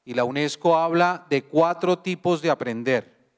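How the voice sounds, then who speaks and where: Slow Colombian man lecturing in a hall. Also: a little high, smooth. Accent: Colombian Spanish. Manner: slow, deliberate, addresses audience with questions. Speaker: guest speaker. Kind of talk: university lecture. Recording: long hall reverb.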